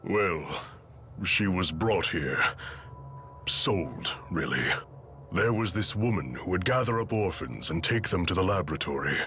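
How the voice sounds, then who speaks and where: A male actor playing a big, gruff, regretful man in a video game, speaking gravely and haltingly. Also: low-pitched, animated, bright, forceful tone, slight background noise, full character voice.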